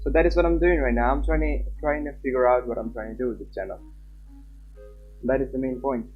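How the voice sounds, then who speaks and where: A man's voice: medium pitch, fairly even intonation, fairly quick. A young man chatting informally on a game livestream and reading text aloud. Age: young adult. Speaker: live streamer. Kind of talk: casual game stream chat and reading aloud. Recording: slightly muffled, lo-fi stream mic. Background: game music.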